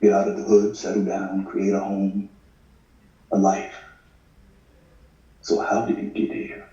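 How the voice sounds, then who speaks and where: An actor playing a reflective, worldly drama narrator, musing in a measured, sombre scripted voice-over. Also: male voice, sits low, monotone, dark tone. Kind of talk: scripted voice-over at a table read. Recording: muffled, echoey, distant mic.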